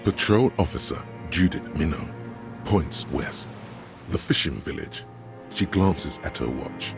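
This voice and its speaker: An actor voicing a somber, all-knowing narrator in a detective video game, reading literary prose in a measured, solemn, gravelly voice. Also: male voice, extremely low pitch, lively intonation, full character voice. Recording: music under the voice.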